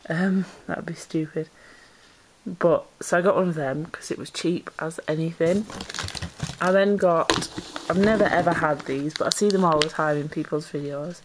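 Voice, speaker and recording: A woman's voice: low-pitched, normal speed, noisy background. A woman chatting casually as she unboxes pet supplies at home, a little congested from a cold and rambling with ums. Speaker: pet vlogger. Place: indoors at home, camera pointed down into a box.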